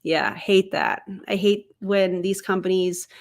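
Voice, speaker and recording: A young adult woman hosting a casual livestream, a little congested as she gets over being sick, chatty and quick to laugh. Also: mid-range voice, steady tone. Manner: chatty, laughs easily, talks to chat. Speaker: streamer and commentator.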